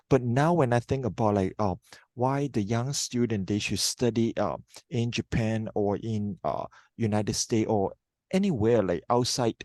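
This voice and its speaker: A man, a guest speaker on a video call, thinking aloud in a relaxed way full of "like"s. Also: medium pitch, no room sound. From Hong Kong. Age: 30s.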